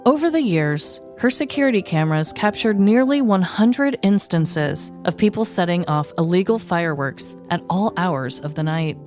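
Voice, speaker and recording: Female podcast host, slightly low voice, wry and playful scripted narration over light music. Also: lively intonation, normal speed, hardly any pauses, a little mellow. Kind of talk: scripted comic true-crime storytelling.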